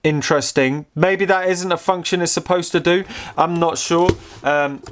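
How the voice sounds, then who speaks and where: A man giving casual, unscripted commentary as a host showing a gadget, rambling and halting with repeated words, curious and easily amused. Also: on the high side, steady tone, noisy background. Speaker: host of a vintage technology channel.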